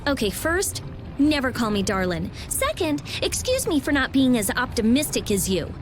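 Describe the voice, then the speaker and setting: A curt, sharp-tongued teenage prodigy from a video game, speaking in a high voice that swings widely. Her delivery is snappish, with soft game music underneath. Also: big pitch swings, normal speed. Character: sharp-tongued teenage girl genius, prickly.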